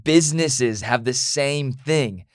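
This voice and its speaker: A man's voice: medium pitch, slow, no room sound, studio quality. A young man co-hosting a podcast, chatting casually in an upbeat, joking way. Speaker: podcast co-host.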